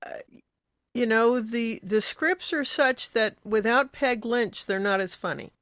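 A woman's voice: fairly high pitch, unhurried, a bit bright, no echo. Older woman, dry close sound, chatting. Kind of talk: casual chat.

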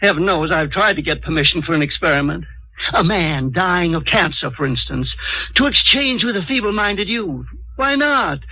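An actor playing a fanatical, contemptuous old scientist with a gravelly, raspy voice and declamatory delivery, in old-time radio drama style. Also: male voice, high voice, animated, pushed and bright, full character voice, poor audio. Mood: indignant. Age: older. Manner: declamatory, dramatic pauses, sudden muttering.

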